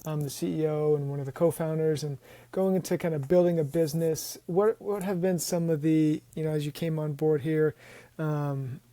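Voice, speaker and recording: Mellow-voiced man hosting a podcast. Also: a little high, steady tone, normal speed, no echo. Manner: hesitant questions, short acknowledgments. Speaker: podcast host. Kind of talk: casual interview talk.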